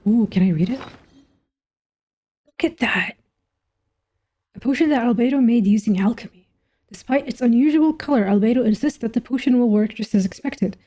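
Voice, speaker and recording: A woman's voice: fairly high pitch, normal speed, long pauses. A young woman giving casual gameplay commentary, thinking aloud in slangy, informal speech. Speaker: gamer reacting to a story quest. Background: soft game music.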